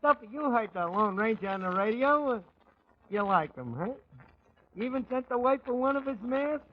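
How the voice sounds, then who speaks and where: An actor playing a slangy, wisecracking New York tavern manager in a 1940s radio comedy. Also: male voice, very high-pitched, animated, normal speed. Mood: chatty, wry. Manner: slangy, ungrammatical, sardonic. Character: wisecracking, malaprop-prone male manager of a tavern. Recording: muffled 1940s radio broadcast.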